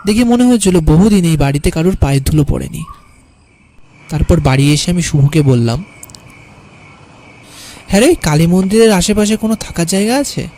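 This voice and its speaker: A man playing a carefree, stubborn young city poet who tells his own tale in an animated horror story. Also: high voice, normal speed, pauses often, slight background noise. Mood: curious, dismissive. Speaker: narrator and lead character. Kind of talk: first-person story narration and cartoon dialogue.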